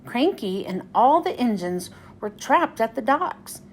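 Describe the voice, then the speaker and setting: A woman reading a children's storybook aloud as narrator, in an expressive, deliberate way. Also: fairly high pitch, slight background noise. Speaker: children's book read-aloud host.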